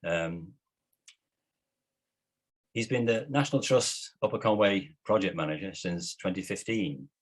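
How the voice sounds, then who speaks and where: A man hosting a local group meeting, introducing the guest speaker in a measured way with some fillers. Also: deep voice. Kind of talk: reading an introduction.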